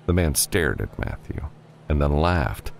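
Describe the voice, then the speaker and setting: Very deep, gravelly male storyteller. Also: expressive, measured pace, a little hiss or hum. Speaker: audiobook narrator. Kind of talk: scripted fiction narration with character voices.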